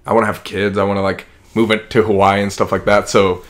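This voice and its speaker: Low-pitched young American man, chatting casually. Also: flat delivery, quick pace. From Kansas, United States. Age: early 20s. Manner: rambling, informal, heavy use of "like". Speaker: podcast co-host. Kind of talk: casual reflective chat.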